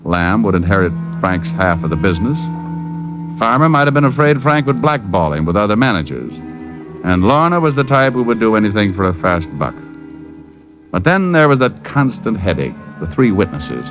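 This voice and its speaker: An actor playing a shrewd, sardonic private detective with an American accent in a hard-boiled old radio drama. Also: male voice, fairly low pitch, big pitch swings, moderate pace. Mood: brooding, intent. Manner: sardonic, teasing. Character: wry, shrewd, needling male private detective. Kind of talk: hard-boiled first-person narration, 1940s-50s radio acting. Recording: muffled old radio recording with music.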